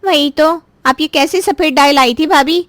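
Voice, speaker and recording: A woman's voice: sits high, moderate pace, fairly bright tone, no echo. A woman voicing a jealous, scheming young sister-in-law in a Hindi cartoon story. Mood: annoyed, alarmed.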